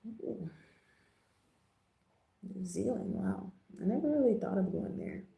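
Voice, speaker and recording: Casting: American female livestream host, relaxed mellow tone with lots of vocal fry, chatty and slangy, echoing room sound. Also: medium pitch, takes it slowly. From Jamaica, raised in the US. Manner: laid-back, slangy, chatty. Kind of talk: casual livestream chat. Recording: roomy, echoing livestream audio.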